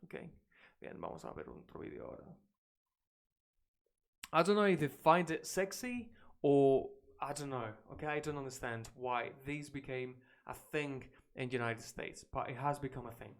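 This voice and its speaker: Spanish-born English teacher on a live stream, British-leaning accent, lively chatty delivery, mid-pitched voice. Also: male voice, normal speed. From Spain. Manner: energetic, chatty, reacting to clips.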